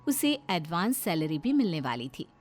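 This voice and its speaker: A woman's voice: lowish voice, sing-song, normal speed, faint music behind. A woman giving scripted narration of a story.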